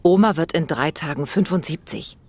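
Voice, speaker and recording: A woman voicing a concerned, caring mother who gently instructs her children in an audio story. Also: deep voice, moderate pace. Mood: caring, firm.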